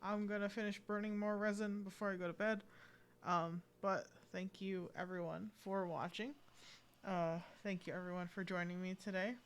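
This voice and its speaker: A woman's voice: mid-range voice, fairly even intonation, normal speed, clear, resonant tone. A woman streaming a game live, chatting casually in a rambling, playfully dramatic way. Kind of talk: casual live gameplay commentary. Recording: game music behind the voice. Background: video game music.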